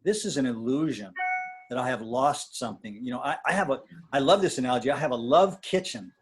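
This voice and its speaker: A man talking reflectively in a casual conversation with a dating coach, pausing and restarting often. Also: mid-range voice, husky. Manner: reflective, halting with many restarts and fillers.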